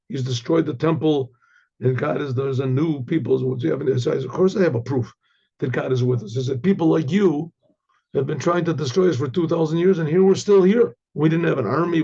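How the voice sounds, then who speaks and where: An older hoarse man, a rabbi, giving a Torah class with halting restarts and frequent throat clearing. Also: a little high, mellow, highs cut off.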